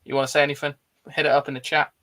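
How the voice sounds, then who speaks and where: A man's voice: a little high, flat delivery, very fast, sharp tone. A man, hosting a casual film chat and reading out live comments in an informal, blokey way. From Bristol, England. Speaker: host of a film discussion livestream.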